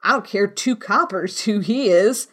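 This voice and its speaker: A woman's voice: mid-range voice, unhurried, fairly bright tone. A woman voicing a hearty, commanding male tavern landlord, an old seafarer with blustering, salty talk, in a storybook reading.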